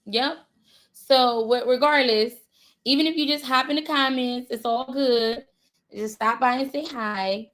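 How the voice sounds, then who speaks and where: Relaxed woman hosting a casual livestream. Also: high voice, unhurried. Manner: relaxed, laughs easily, short prompting replies. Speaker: livestream host. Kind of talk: casual live chat.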